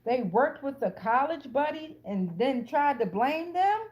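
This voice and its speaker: The speaker is an American woman with a somewhat high voice. She improvises a tarot reading for her online audience in a casual, streetwise way. Her talk is blunt and full of profanity, and she circles back to phrases to drive them home. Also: big pitch swings, measured pace, dull audio. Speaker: tarot card reader, channel host.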